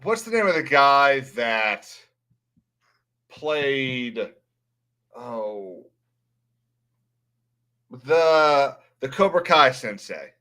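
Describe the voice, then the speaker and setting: A man's voice: mid-range voice, very animated, extremely slow, pauses often, sharp tone. A man hosting a livestream, chatting casually in a rambling, blunt way. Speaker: livestream host. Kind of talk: casual livestream chat and commentary.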